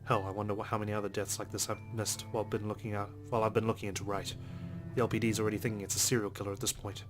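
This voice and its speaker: Male let's play reader for story-game narration: deepish voice, rapid delivery, music underneath. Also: flat delivery. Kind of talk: narration read aloud from a visual novel.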